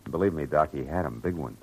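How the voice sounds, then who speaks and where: A man's voice: very deep voice, moderate pace, slight background noise, poor audio. An American man acting a hard-boiled, world-weary private detective in 1940s radio style, with wry, clipped, slangy delivery. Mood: wry, matter-of-fact. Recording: vintage radio drama with loud music.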